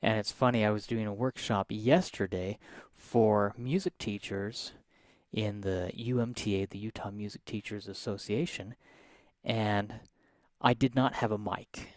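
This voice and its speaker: A soft-spoken man, teaching a live piano lesson and explaining each step in a friendly way. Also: lowish voice, steady tone, measured pace, dry recording, studio quality.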